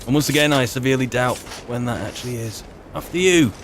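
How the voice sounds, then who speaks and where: A man's voice: mid-range voice, fast, a little hiss or hum. A man giving casual in-character roleplay commentary as he plays a game, in a wry, muttering manner. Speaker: gamer playing a character.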